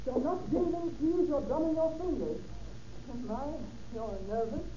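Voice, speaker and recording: A woman's voice: high voice, expressive, a little slow. An actress playing a cold, scheming, murderous woman in a theatrical, commanding old-time radio drama style. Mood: needling, controlled. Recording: dull, noisy old radio recording.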